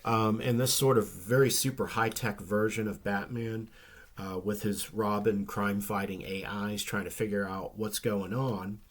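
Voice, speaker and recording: Low-voiced man with a slightly nasal rasp. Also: a little slow. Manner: relaxed and rambling, many ums and restarts. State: recovering from flu, slightly rough nasal voice. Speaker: comic book vlogger. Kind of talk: casual chat.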